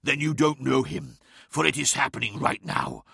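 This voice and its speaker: A man voicing a monstrous, gloating tempter in an audiobook, taunting and prophetic with drawn-out words. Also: medium pitch, creaky, bright, forceful tone, slightly rough, no room sound, clean studio sound, full character voice. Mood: mocking. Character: monstrous male void predator, mocking, gloating tempter. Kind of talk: dramatic audiobook character acting.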